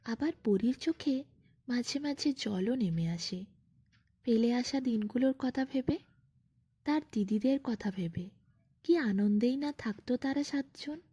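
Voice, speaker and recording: A woman narrating a scripted bedtime fairy tale as a storyteller, in an expressive, unhurried manner. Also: a little high, slightly rough, dry recording.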